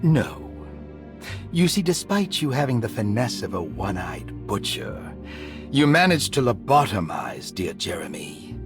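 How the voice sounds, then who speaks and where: A man's voice: on the low side, big pitch swings, unhurried, over music. A man playing a sardonic, theatrical asylum doctor in a horror game, with dry, wordy sarcasm. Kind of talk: cinematic horror game acting.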